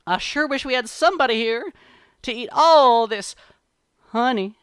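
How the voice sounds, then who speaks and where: Hyper folksy rabbit for a storybook tale, way up high and loud, sly and excitable. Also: male voice, measured pace, smooth, no echo, studio quality, exaggerated voice. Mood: excited, scheming. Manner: folksy, exclamatory, stalling. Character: wily, exuberant male rabbit trickster, folktale animal.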